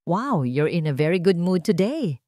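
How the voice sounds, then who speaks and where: A woman's voice: on the low side, big pitch swings, normal speed, warm tone, no room sound, clean studio sound. Smug scheming gold-digger fiancée voiced by a narrator woman. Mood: falsely sweet. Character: scheming, mocking young gold-digger fiancée, falsely sweet. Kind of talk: storybook melodrama dialogue.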